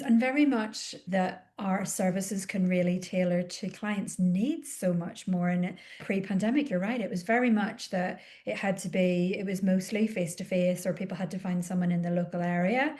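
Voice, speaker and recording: A woman's voice: medium pitch, steady tone, moderate pace, few pauses, a little mellow. A woman hosting a podcast for therapists, asking the guest long, encouraging questions. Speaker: podcast host. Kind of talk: conversational podcast interview.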